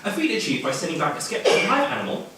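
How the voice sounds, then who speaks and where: An Australian man reads comic prose to a live event with a wry, jokey manner. His fairly high voice moves around a lot in pitch. It is picked up from a distance in a very echoey room. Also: big pitch swings, rapid-fire, pushed and bright. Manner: wry, jokey, chuckling asides. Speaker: author reading at a literary event. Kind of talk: live reading of humorous fiction with asides. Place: indoor event venue, filmed reading. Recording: echoey room, distant mic, faint noise.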